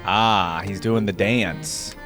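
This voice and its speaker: A man's voice: sits low, slow, music in the background. A man giving casual reaction commentary as a video creator, thinking aloud and hedging his opinions as he goes.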